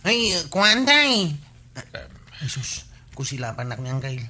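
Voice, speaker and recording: A woman's voice: low voice, big pitch swings, takes it slowly, pushed and bright, full character voice. An actress playing a fretful, easily alarmed household woman in an Ilocano radio comedy, exclaiming and muttering.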